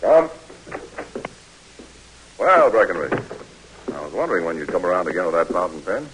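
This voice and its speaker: An actor playing a brisk army lieutenant who gives firm, clipped orders, in 1940s radio drama style. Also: male voice, low voice, very animated, background noise. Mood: stern.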